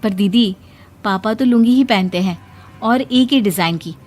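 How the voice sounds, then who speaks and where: A woman's voice: mid-range voice, moderate pace, a little mellow, faint music behind, close to an everyday voice. A woman voicing a dutiful, polite young daughter-in-law in a family story, earnest and respectful. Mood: explaining.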